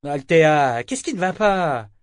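A man's voice: on the high side, normal speed, a little mellow, no echo, clean studio sound, pitched above the performer's own voice, natural voice. A man voicing a strong, imposing woman who speaks in an imperious, urgent way. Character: strong, imposing blonde woman, fierce and commanding.

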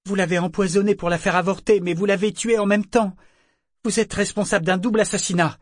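Man doing furious young boy, curt, accusing, raised high voice, dry storybook sound. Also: fairly even intonation, brisk, dry recording, close to an everyday voice. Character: angry young boy, defiant and righteous. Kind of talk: audiobook character reading.

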